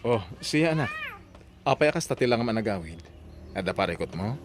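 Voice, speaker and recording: A man's voice: fairly low pitch, sing-song, brisk, a little hiss or hum. An actor playing a plain-spoken village man, a neighbour and protective father, with an Ilocano accent in an audio drama. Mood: concerned.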